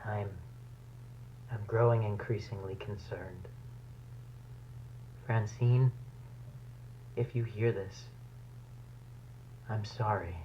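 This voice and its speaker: A man acting a brooding, low-voiced mutating scientist, muffled tape. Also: steady tone, slow pace, pauses often. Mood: worried. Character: anxious male scientist slowly mutating into a bat creature. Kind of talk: horror audio diary, analog horror acting. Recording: dull, humming, noisy tape recording.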